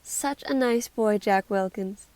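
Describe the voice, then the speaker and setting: A woman's voice: mid-range voice, slow, darkish tone, no room sound, lightly acted. A woman with an American accent playing a gossipy, sociable small-town woman in an amateur play. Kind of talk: amateur stage-play acting.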